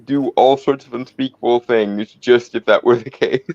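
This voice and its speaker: A man's voice: medium pitch, fairly even intonation, normal speed, muffled recording, a crowd in the background. A man chatting casually as a player in a roleplay game, hesitant and repeating his words. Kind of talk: casual chat.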